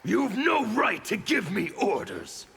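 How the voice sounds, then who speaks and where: An actor playing a zealous, defiant anarchist revolutionary in a fighting game, preaching violent freedom. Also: male voice, high voice, wildly swooping pitch, slow pace, gravelly, a bit bright, slightly rough, full character voice. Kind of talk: dramatic video game dialogue.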